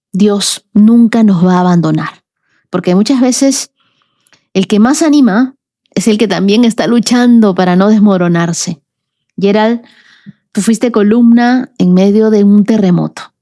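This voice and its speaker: A woman's voice: medium pitch, measured pace, warm tone. A woman speaks as a devotional podcast host, tenderly and with emphasis, in short repeated phrases.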